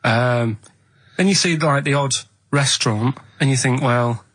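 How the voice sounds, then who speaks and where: A man's voice: mid-range voice, fairly bright tone, no room sound. Man, very dry close sound. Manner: halting, earnest, colloquial, trailing off with "and that". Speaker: radio show co-host telling stories. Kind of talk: casual rambling anecdote on a radio show.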